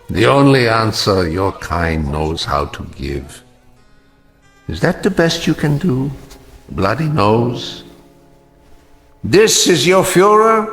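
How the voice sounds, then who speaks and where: An actor playing a bitter, scornful old man who mocks a young agitator, his delivery slow and swinging widely in pitch. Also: vocal fry, a bit bright, full character voice. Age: older. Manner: scornful, cutting, mocking.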